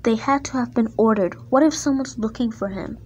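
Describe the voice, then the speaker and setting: A woman's voice: fairly high pitch, close to an everyday voice. Woman as a pompous, bullying railway boss. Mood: scolding. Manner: domineering, drawn-out scolding. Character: pompous, bullying, hot-tempered male railway controller.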